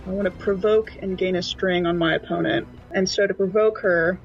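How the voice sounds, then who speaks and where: A woman's voice: fairly low pitch, fairly even intonation, moderate pace, muffled recording, soft background music. A woman playing a tabletop game, chatting casually and describing her moves in an informal, playful way.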